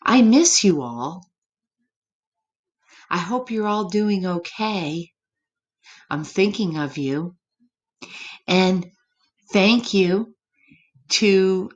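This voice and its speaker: Woman storyteller for little kids, unhurried and highly animated, gently expressive, speaking straight to the children. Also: highs cut off. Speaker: children's story reader, teacher. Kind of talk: read-aloud storytime for young children, with chatty asides. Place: room at home.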